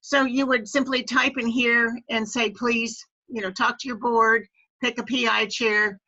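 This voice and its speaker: A woman's voice: fairly high pitch, steady tone, a little slow, pushed and bright. A woman presenting a methodical, step-by-step training walkthrough over an online video call. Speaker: presenter training club officers. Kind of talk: instructional walkthrough on a web call. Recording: dull, lo-fi web call audio.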